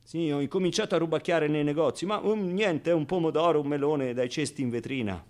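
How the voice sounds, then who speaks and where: A scrappy, wounded orphan tells his childhood story in a radio play, acted by a man. The voice sits a little high. The delivery is colloquial and theatrical, full of halting repetitions, moving between outbursts and quiet confiding. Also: natural voice. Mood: wry, confiding. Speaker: stage actor performing a monologue. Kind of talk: theatrical first-person radio monologue.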